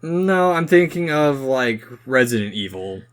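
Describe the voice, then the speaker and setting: A man's voice: medium pitch, very animated, measured pace, clean tone. A man co-hosting a podcast, casually discussing films and giving his opinions. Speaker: podcast co-host.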